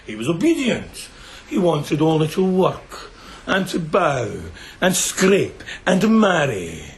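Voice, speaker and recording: An actor playing a sadistic bandit chieftain in a radio drama, theatrical and mocking, relishing every word with menace. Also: male voice, on the high side, huge pitch swings, slow pace, full character voice. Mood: mocking, gleeful. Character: gloating, sadistic bandit chieftain taunting bound captives.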